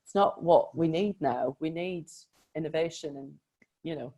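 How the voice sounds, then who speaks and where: A woman, a life coach talking in an expressive, chatty way in a casual conversation. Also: low-pitched, normal speed. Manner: expressive, chatty, verbal processor. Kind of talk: casual chat.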